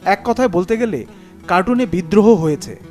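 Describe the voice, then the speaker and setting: A man's voice: sits high, expressive, fairly quick, darkish tone, faint music behind. A man reading scripted news narration in standard Bangladeshi Bengali as a feature reporter.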